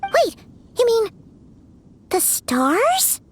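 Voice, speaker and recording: A woman voicing a small, excitable, childlike fairy companion in a video game, chatty and petulant with a squeaky voice. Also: drawn-out words, slight background noise, heavily acted. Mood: curious, uneasy. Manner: refers to self in third person, exclamatory. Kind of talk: video game character acting.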